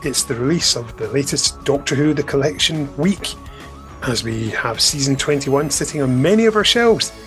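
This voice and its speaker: Podcast host, man, mid-pitched, upbeat and jokey, faint music under him. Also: expressive, moderate pace, a bit bright. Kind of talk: casual podcast chat.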